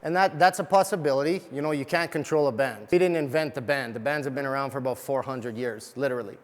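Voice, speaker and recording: A man working as a fitness trainer, giving an energetic coaching demo of equipment in a large gym. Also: mid-range voice, faint music behind. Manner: energetic, coaching, rapid explanations. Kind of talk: live gym demonstration. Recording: long reverb of a big gym.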